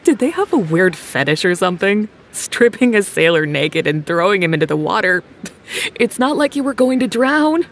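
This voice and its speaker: A woman's voice: mid-range voice, sing-song, moderate pace. An actress playing a blunt, gossipy townswoman in a video game. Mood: amused. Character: nosy outspoken older woman townsfolk.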